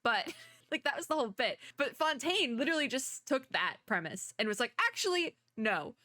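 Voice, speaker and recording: A woman's voice: high-pitched, expressive, normal speed, sharp tone, no echo. A young woman doing casual reaction commentary, chatty and informal, thinking aloud as she goes. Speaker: VTuber reacting to game trailers. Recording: music in the background.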